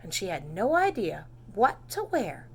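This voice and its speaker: A woman teacher reading a storybook to preschoolers, expressive and dramatic, pausing for simple questions and explanations. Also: medium pitch, slight background noise. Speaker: preschool read-aloud teacher. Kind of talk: children's storybook narration with asides and questions.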